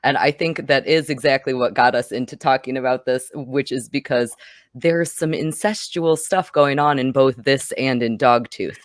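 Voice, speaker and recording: A woman's voice: a bass voice, moderate pace, no room sound, studio quality. A woman hosting a conversational film review podcast, chatting through a movie discussion.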